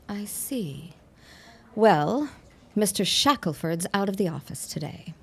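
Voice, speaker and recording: A brusque 1910s office secretary played by a woman with a slightly husky, mid-pitched voice. She is world-weary and flirtatious, talking in curt, sardonic slang as in a period radio play. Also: expressive, slow pace, heavily acted. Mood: curt, dismissive. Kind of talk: period audio drama acting.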